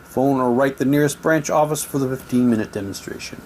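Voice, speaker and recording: Guy reading stuff out loud for an unboxing, kinda halting, mutters little asides, some noise behind him. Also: mid-range voice. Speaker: unboxing presenter. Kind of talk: casual unboxing, reading old newspaper props aloud.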